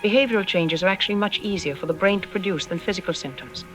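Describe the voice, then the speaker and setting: A woman's voice: mid-range voice, fast talker, a bit bright, close to an everyday voice. Woman acting as a lady psych expert on a radio drama, sure of herself, lecturing, bit dismissive, music underneath. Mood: clinical, dismissive. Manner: didactic, confident, articulate. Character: self-assured female psychologist, calmly dismissive expert guest. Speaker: expert interview guest. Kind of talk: radio drama interview, measured explanatory delivery. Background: background music.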